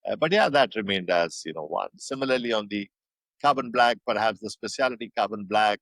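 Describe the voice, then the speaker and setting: Older Indian-accented man, lowish voice, dry close sound, reflective guest. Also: lively intonation, a bit bright, dry recording, low-quality recording. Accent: Indian English. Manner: measured, thoughtful, frequent fillers and restarts. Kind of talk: reflective interview answers.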